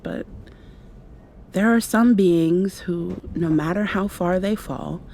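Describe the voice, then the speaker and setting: A gentle young vampire girl played by a woman talks to her girlfriend in an intimate ASMR roleplay. Her voice is mellow and fairly low, and she takes her time, tender and thoughtful, pausing as she confides. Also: vocal fry, a little hiss or hum. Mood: confiding, wry. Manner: soft, tender, unhurried, thoughtful pauses.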